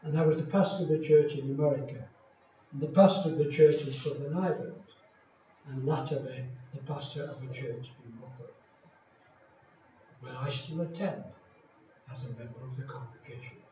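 An older man from England, a retired pastor, preaching in a slow, deliberate and emphatic way with many pauses. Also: medium pitch, fairly even intonation, mellow, slight background noise. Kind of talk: sermon. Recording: echoey hall from far off, dull lo-fi.

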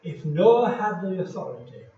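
Old english pastor preaching, emphatic with long pauses, a bit high voiced, sounds distant and muffled. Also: male voice, very animated, warm tone, soft background music. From England. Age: older. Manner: slow, deliberate, emphatic preaching with many pauses. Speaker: retired pastor. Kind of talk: sermon. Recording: echoey hall from far off, dull lo-fi.

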